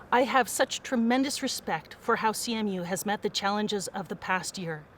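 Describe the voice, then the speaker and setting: A woman's voice: medium pitch, slight background noise. Earnest woman making a donation appeal. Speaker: fundraising speaker. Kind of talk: prepared speech.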